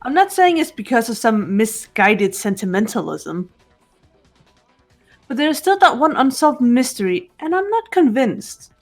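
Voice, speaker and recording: A woman voicing a calm, probing investigator. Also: on the high side, unhurried, soft background music, full character voice. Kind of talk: fan voice acting of a game.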